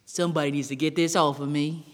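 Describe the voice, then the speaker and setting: A woman's voice: steady tone, close to an everyday voice. A woman voicing a big, dim, eager male bear in a folk tale, lumbering and drawling in an American Southern accent. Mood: pleading. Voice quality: deep, lumbering. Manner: slow-witted, drawling, folksy. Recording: long hall reverb.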